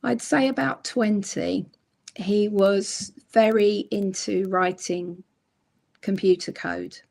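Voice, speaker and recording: A middle-aged woman with a croaky voice from a cold, telling her story slowly as a guest on a video call. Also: medium pitch, fairly even intonation, darkish tone. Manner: slow, reflective storytelling with many ums. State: hoarse from a cold. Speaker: guest crochet designer and author. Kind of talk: casual chat on a livestream.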